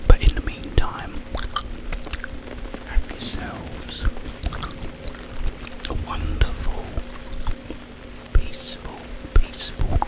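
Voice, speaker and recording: A man speaking in a breathy, unvoiced whisper, rambling informally and soothingly in an ASMR style. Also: extremely slow, pauses often, poor audio. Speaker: ASMR video creator and host. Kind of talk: whispered ASMR ramble retelling a film plot.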